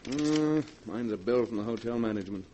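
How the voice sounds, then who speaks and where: A man's voice: mid-range voice, animated, brisk, dark tone, lightly acted. An actor playing a suave, proud husband and stage leading man in theatrical, emphatic 1940s radio style. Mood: earnest, firm. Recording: dull, noisy vintage radio recording.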